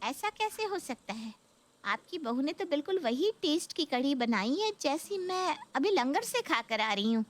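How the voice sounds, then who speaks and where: Woman playing a high-pitched, chatty visiting aunt. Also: very animated, normal speed. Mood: astonished. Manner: chatty, emphatic. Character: chatty visiting aunt, enthusiastic younger sister. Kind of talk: Hindi cartoon story voice acting.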